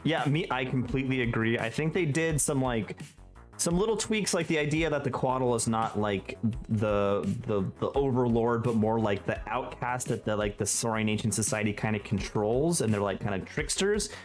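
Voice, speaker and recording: A man with a mid-pitched voice hosts a casual gaming review chat. He talks conversationally, with faint music playing behind him. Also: animated, moderate pace. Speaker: host of a tabletop gaming show.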